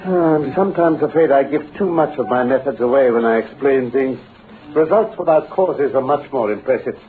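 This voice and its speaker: A man with a British accent playing a confident, precise gentleman detective in an old-time radio drama. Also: medium pitch, moderate pace, lightly acted. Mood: brisk, genial. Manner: precise, articulate, self-assured. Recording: muffled, phone-like old radio recording with hiss.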